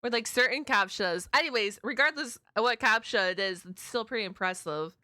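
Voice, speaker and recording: A woman with a fairly high, bright voice hosts a casual reaction video. She talks in a slangy, exclamatory, gushing way, and the sound is crisp and dry. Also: fairly quick, no room sound.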